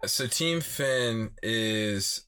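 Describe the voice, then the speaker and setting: Deep-voiced man, easygoing guest. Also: extremely slow, sharp tone, clean tone. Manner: agreeable, frequent backchannel. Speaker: podcast guest. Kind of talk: casual chat.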